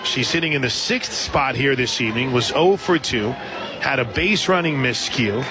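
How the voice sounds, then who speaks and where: A man doing live radio play-by-play commentary of a softball game as the announcer. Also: medium pitch, animated, normal speed. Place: outdoor softball stadium broadcast position. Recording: loud stadium crowd noise behind. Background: loud crowd and ballpark ambience.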